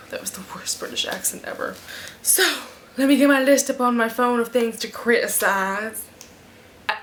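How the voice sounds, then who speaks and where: Chatty woman vlogger, slightly high voice, rambling book-review talk to camera at home. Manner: chatty, rambling, animated asides. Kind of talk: casual vlog review. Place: at home in front of a fan. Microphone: camera mic.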